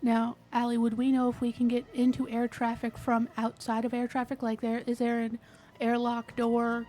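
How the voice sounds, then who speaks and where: A woman's voice: fairly high pitch, completely flat delivery, moderate pace, warm tone. A woman player casually improvising in character during a tabletop roleplaying game.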